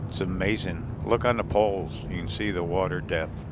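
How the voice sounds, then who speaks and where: A man's voice: sits low, moderate pace, noisy background. A man vlogging a casual driving tour of a small coastal town, rambling and chuckling as he points things out from his vehicle. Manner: relaxed, rambling, chuckles often. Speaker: RV travel vlogger. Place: in a vehicle driving, and outdoors by the water.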